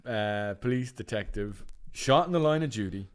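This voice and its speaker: A man's voice: on the low side, expressive, moderate pace, clear, resonant tone. A man co-hosting a casual podcast, rambling through stories and acting out the quotes. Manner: rambling storyteller, acts out quotes in voices. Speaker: podcast co-host. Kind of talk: casual chat.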